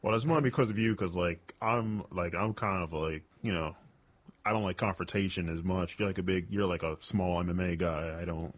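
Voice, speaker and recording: A young man with a notably deep, low voice answers interview questions in a loose, rambling way. He stacks up fillers and restarts as he talks about stand-up comedy. He speaks as a comedian and radio host in a casual chat. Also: moderate pace. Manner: rambling, many fillers like "like" and "you know".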